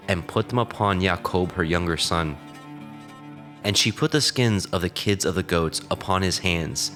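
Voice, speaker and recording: A man narrating scripture in a solemn, formal and measured reading style. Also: low voice, fairly bright tone. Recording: music under the voice.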